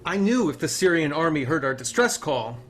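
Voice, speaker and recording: Man acting higher-voiced raconteur, noisy recording. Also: very animated, brisk, a bit bright. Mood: wry, boastful. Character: cocky male crash-survivor raconteur telling his adventures. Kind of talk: dramatic screenplay table read.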